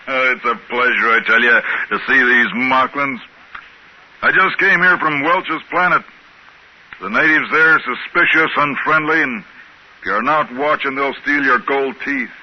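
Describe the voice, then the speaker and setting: An American actor playing a gruff, talkative spaceship captain in a 1950s radio drama. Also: male voice, mid-range voice, a little slow, close to an everyday voice. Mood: chatty, incredulous. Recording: dull band-limited 1950s radio recording.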